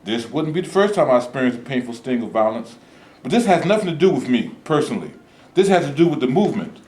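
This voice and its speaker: A man's voice: medium pitch, big pitch swings, fast, darkish tone, close to an everyday voice. A man playing a cautious, principled protest movement leader in a staged reading, speaking formally and earnestly. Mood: earnest caution. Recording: echoey room, live staged reading.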